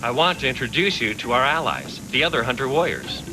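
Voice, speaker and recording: A man's voice: medium pitch, lively intonation, brisk, close to an everyday voice, poor audio. A man acting an earnest, caring mentor and bounty hunter in an anime dub on a worn tape. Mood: calm, explaining. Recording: worn VHS tape dub, loud music behind.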